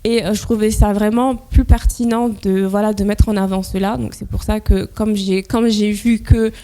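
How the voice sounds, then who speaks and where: A woman's voice: medium pitch, steady tone, moderate pace, dark tone. A woman from Guinea whose first language is Fula, talking as an interview guest in a casual, hesitant way with many fillers. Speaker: interview guest, NGO founder.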